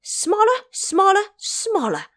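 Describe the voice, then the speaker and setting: A woman's voice: very high voice, huge pitch swings, slow pace, a bit bright, no room sound, studio quality, pitched above the performer's own voice, full character voice. A woman voicing a boastful, gleeful male Monkey King in an audio drama, proud and showy with a commanding streak. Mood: excited, commanding. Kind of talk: audio drama character acting.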